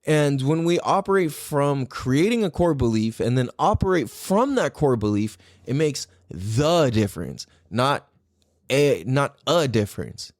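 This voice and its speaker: A man's voice: mid-range voice, animated, unhurried, no room sound, studio quality. A man hosting a podcast in a casual, rambling, opinionated way, speaking animatedly and swearing freely. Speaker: podcast host.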